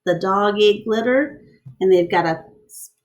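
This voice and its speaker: A woman with a mid-pitched voice reads aloud to children. She presents the text in an instructive, teacherly way, setting out each part clearly. She adds simple explanations and addresses questions directly to her young listeners. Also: a little slow, dull audio. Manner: instructive, asks the listener questions, enunciates steps. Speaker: teacher reading a picture book aloud.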